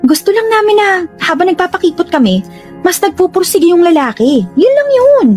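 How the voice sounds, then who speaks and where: An actress playing a bossy, excitable grown daughter in a radio drama, speaking emphatically. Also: female voice, very high-pitched, a little fast, soft background music. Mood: conceding, wry. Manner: emphatic, mock-interrogating.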